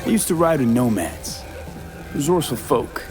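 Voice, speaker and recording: A man's voice: medium pitch, very animated, normal speed, music in the background, natural voice. A man playing a cool, determined mercenary in a video game, speaking in a blunt, terse, controlled way. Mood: insistent, accusing. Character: cool, determined male mercenary, former nomad. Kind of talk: video game character acting.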